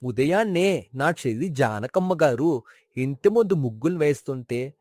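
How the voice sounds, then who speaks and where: A man's voice: medium pitch, animated, moderate pace, a little mellow, no room sound, studio quality, natural voice. A man playing a sincere, sentimental colleague and neighbour of a late father, earnestly reminiscing in a moral story. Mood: fond, reverent. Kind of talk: dramatized moral story acting.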